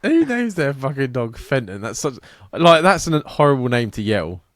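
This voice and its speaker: A man's voice: mid-range voice, big pitch swings, normal speed, no room sound, studio quality. A young man co-hosting a podcast, giggly and self-deprecating, swearing casually. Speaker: podcast co-host. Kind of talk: casual banter.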